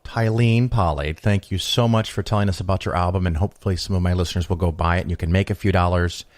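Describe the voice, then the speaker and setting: Very deep-voiced guy hosting a podcast, dry close sound, laid back and wry. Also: quick pace, no echo. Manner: relaxed, wry asides. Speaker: podcast host. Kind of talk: casual interview chat.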